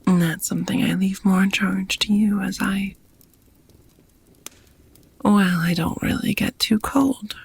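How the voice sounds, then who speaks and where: A woman playing a gentle, courteous female vampire roommate in an intimate ASMR roleplay, speaking in a tender, softly formal, breathy half-whisper. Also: mid-range voice, fairly even intonation, unhurried, pauses often, a little hiss or hum. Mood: warm, reassuring.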